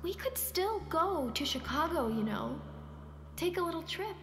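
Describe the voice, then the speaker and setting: A woman's voice: extremely high pitch, big pitch swings, a little slow, exaggerated voice. A woman acting a caring, persistent character who coaxes and probes in naturalistic stage-play dialogue, sometimes hesitantly. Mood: coaxing, probing. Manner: coaxing, probing, hesitant at times. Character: Sonia, caring, persistent woman nudging a withdrawn man. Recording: roomy sound with music behind.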